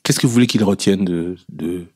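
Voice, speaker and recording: A man interviewing a guest on the radio, asking probing, measured questions with some fillers. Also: low-pitched, a little mellow, breathy edge, dry recording, clean studio sound.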